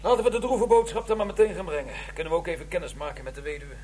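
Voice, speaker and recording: A man playing a sensitive, measured police inspector in a Dutch radio crime drama, musing in a reflective way. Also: a little high, a little mellow, slight background noise, lightly acted.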